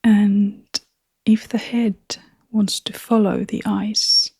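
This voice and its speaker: Female meditation guide: hushed and breathy, level intonation, unhurried short phrases with long gaps, very dry close recording. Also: mid-range voice, little pitch movement, no echo. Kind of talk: guided meditation, soft-spoken.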